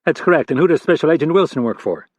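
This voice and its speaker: A man's voice: on the high side, lively intonation, quick pace, breathy edge, no echo, pitched above the performer's own voice. A man voicing a stern, intimidating male FBI executive who barks orders at a junior agent. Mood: stern, threatening. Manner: barking, intimidating.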